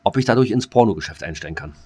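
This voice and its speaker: Male co-host, 30s, very deep voice, jokey, stammering, hesitant. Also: expressive, racing, darkish tone, slightly rough. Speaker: podcast co-host. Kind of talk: casual comedic chat.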